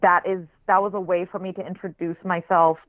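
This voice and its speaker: A writer raised in Israel with a flat American accent, speaking as an interview guest in a thoughtful, searching way with many restarts. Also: medium pitch, brisk, no echo. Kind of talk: reflective conversational interview. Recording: tinny phone-line recording.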